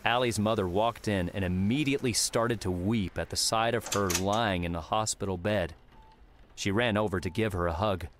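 A man with a fairly low voice narrates an audiobook. He reads scripted story prose, with some ambient noise behind him. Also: moderate pace.

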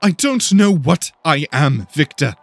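A man's voice: fairly high pitch, big pitch swings, a little slow, creaky, pitched above the performer's own voice, full character voice. Man voicing a proud, hot-tempered young woman. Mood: agitated. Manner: condescending. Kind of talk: dramatic audiobook character voice.

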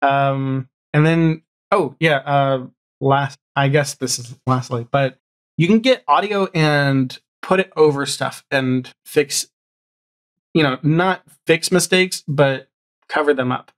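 A man chatting casually as a podcast guest, with a halting delivery that often repeats words as he explains. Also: medium pitch. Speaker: podcast guest, audio drama showrunner. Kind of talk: casual chat. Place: home room, video call.

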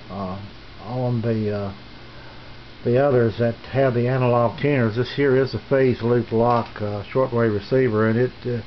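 A man giving a casual, unscripted tour as a hobbyist host, rambling and halting, recorded on a handheld camera in a small home room. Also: mid-range voice. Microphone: handheld camera's built-in mic. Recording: muffled camcorder audio with background hiss.

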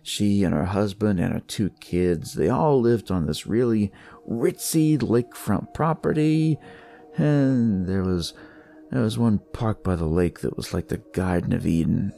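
A man playing a jaded, crude police detective, narrating his noir story in a wry, world-weary, conversational way with a gravelly, husky voice. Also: lowish voice, unhurried, mellow, soft background music. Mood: wry, confiding. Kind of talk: noir first-person scripted narration.

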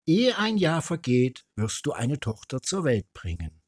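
A man's voice: a little high, big pitch swings, measured pace, a little mellow, dry recording, clean studio sound, pitched above the performer's own voice. A man voicing a prophesying storybook frog with a solemn, declarative manner. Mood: solemn promise. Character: prophesying frog speaking to a queen.

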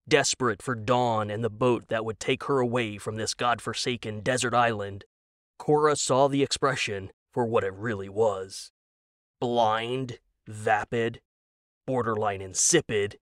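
Male narrator for audio fiction: measured, slow, wry delivery with dramatic pauses, dry close recording. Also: mid-range voice, a bit bright, no echo. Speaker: narrator and author.

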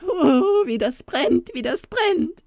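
Tiny shrill church goblin guy, a lady voicing him, swings way up and down, dry close sound. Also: big pitch swings, measured pace, no echo, heavily acted. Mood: wailing. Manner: squeaky and mocking, later whining. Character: small male church goblin, cheeky then wailing. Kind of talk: storybook character voice.

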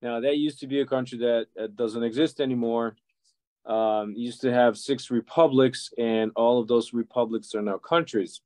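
A man in his forties with a Serbian accent, giving halting, reflective answers in a conversational interview. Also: medium pitch, steady tone, a little mellow, no room sound. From Serbia, former Yugoslavia. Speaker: interview guest, violinist and music educator. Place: office or teaching room, indoors. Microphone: video call.

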